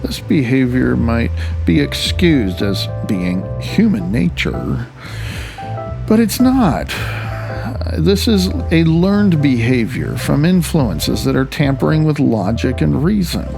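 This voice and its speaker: A man's voice: mid-range voice, very animated, slow, creaky. A man reading his own nonfiction audiobook in a wry, conversational way. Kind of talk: audiobook narration of personal essay. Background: music.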